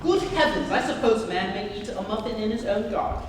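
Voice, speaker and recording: A young man acting as an exasperated, indignant Victorian gentleman in an emphatic theatrical comedy reading. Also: high-pitched, expressive, fairly quick. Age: young adult. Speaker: student performer in a dramatic reading. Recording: very echoey hall, distant, noisy.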